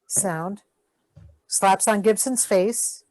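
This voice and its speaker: A woman's voice: mid-range voice, very slow, darkish tone, poor audio. A woman reading sound effect cues aloud for a radio play, in short announcements.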